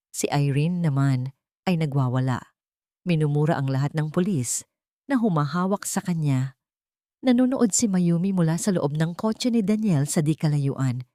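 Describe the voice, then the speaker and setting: A Filipino woman narrates a dramatic story. Her voice sits a little low and swings widely in pitch, recorded very dry. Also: dark tone, dry recording.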